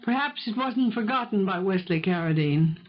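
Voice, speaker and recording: A woman's voice: medium pitch, lively intonation, slight background noise, natural voice. Woman acting a cool, relentless housemaid, muffled recording. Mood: commanding, menacing. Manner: insistent, cool, deliberate. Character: cold, relentless, scheming housemaid pressing a guilty employer. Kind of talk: 1970s radio drama acting. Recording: muffled vintage 1970s radio recording.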